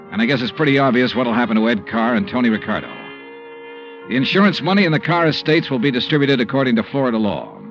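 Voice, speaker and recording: A man's voice: medium pitch, close to an everyday voice. Man playing a wry tough-guy investigator. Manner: dry, wry narration. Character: tough male insurance investigator. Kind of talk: 1950s radio drama acting. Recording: dull old radio broadcast with music.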